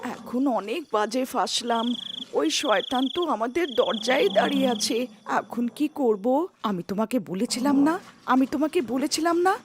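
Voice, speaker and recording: A woman's voice: on the high side, a little hiss or hum, lightly acted. A woman voicing an anxious, feisty village wife in a cartoon story, nagging and pleading. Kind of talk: children's moral-story cartoon acting.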